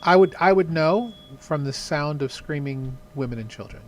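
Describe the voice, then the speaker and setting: A man from the Southern US co-hosts a podcast over a video call, talking loosely with plenty of "uh" and "you know". His voice sits a little high and stays unhurried and chatty. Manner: rambling, many fillers. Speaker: podcast co-host. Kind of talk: casual chat.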